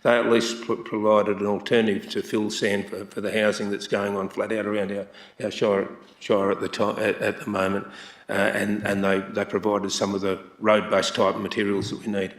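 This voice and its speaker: A man speaking as a councillor at a council meeting, plain-spoken and halting, often repeating his words. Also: fairly low pitch, flat delivery. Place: council chamber. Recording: echoey council chamber.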